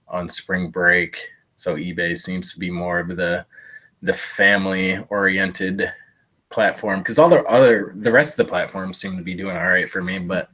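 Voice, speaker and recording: This is a man whose voice sits a little low and sounds stuffy and blocked up from a cold. He chats casually to a live-stream audience, meandering and padding his sentences with fillers and offhand self-mocking asides. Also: bright, forceful tone, low-quality recording. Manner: rambling, lots of "uh" fillers, self-deprecating jokes. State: stuffy, congested. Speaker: thrift reseller streaming a haul. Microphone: live-stream setup.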